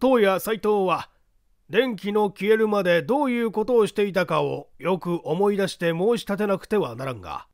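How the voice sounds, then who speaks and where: Man acting a commanding judge, pitched up and forceful. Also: lively intonation, normal speed, dry recording, clean studio sound. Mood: stern, probing. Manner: commanding, interrogating. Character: stern, probing male judge questioning witnesses. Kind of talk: dramatic audiobook reading.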